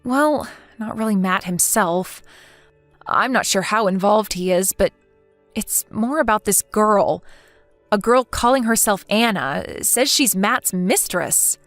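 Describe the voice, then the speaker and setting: Woman as aggrieved forties mother, earnest, measured, animated pitch, dry studio sound, story drama. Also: dry recording, soft background music. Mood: worried, polite. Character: wronged mother over forty, indignant yet polite.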